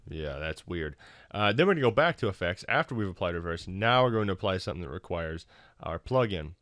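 A man hosting a casual software tutorial in an informal, self-deprecating, hesitant way. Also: low voice, very animated, a little fast, dry recording, studio quality. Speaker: tutorial host.

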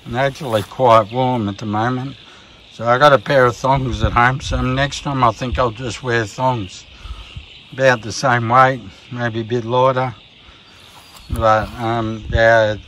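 A man with an Australian accent casually walking through his hiking gear, relaxed and rambling with plenty of ums and restarts, filmed on a phone outdoors. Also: medium pitch, slight background noise, rough recording. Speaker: vlogger. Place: outdoors at a campsite by a river.

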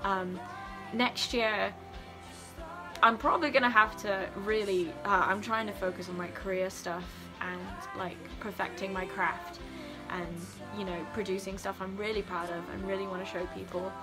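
A woman's voice: mid-range voice, fairly even intonation, normal speed. Young woman chatting over music. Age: young adult. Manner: rambling, informal, candid. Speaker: student vlogger. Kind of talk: casual vlog chat to camera. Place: home living room. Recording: music playing behind.

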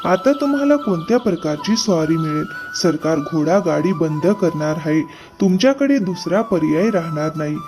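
A man's voice: on the high side, expressive, moderate pace, natural voice. Pushy rich buyer played by a man. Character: rich, luxury-loving, pushy male cart buyer. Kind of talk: cartoon moral story dialogue. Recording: music behind the voice.

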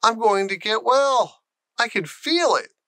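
A man's voice: very high-pitched, lively intonation, unhurried, pushed and bright, no echo, pitched above the performer's own voice, heavily acted. A man voicing a bossy but needy frail young boy in a storybook, with an emphatic manner. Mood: elated. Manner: imperious, emphatic.

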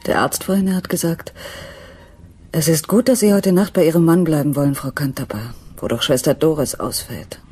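An actress in a German radio play, playing an anxious, brooding wife and former nurse in a breathy, hushed voice. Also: female voice, low-pitched, normal speed. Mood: brooding, shaken. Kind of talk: German radio drama acting.

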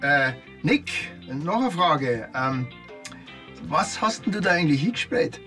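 An actor playing a grumpy, blunt small-town policeman with a Bavarian accent and a rough edge. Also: a little high, big pitch swings, unhurried, bright, forceful tone, soft background music, full character voice. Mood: halting.

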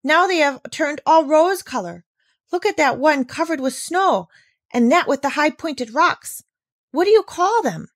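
Woman voicing an excitable little girl. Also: high-pitched, unhurried, no room sound, studio quality, pitched above the performer's own voice. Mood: awestruck. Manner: bright, breathless exclaiming. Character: excitable little girl, warm-hearted and eager.

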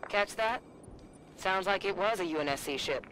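A woman acts out a tough soldier in a video game squad. She is practical and matter-of-fact, keeping her words terse. Loud music runs underneath. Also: mid-range voice, expressive, moderate pace, natural voice.

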